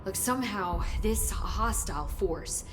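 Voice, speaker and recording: An actress playing an eager, talkative scientist's assistant in a video game, earnest and wordy with halting phrases. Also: female voice, animated, over music, natural voice. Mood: earnest, flustered. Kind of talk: video game cutscene dialogue. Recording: faint rumbling noise behind.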